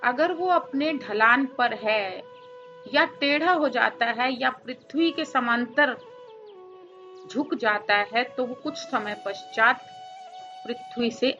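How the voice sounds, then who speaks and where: A woman with a high, bright voice leads a guided meditation. She gives gentle instructions in short phrases with pauses. The recording is somewhat dull and lo-fi. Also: soft background music. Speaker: meditation teacher.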